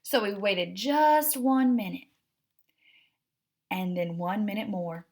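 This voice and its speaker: A woman's voice: mid-range voice, takes it slowly, creaky, a little mellow. A woman hosting a read-aloud, narrating a children's storybook expressively and addressing young listeners directly.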